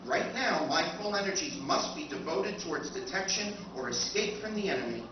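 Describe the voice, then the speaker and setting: A man plays a solemn, deluded warship computer for an old-time radio play. The delivery is formal and grand, pitched fairly high. It comes through a very echoey hall with clear noise over it. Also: animated, moderate pace, natural voice. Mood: stern. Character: solemn, deluded, militaristic female lifeboat computer, motherly and stubborn. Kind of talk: live recreation of 1950s radio science fiction. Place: convention performance room, picked up from afar. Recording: echoey convention hall, distant muffled noisy recording.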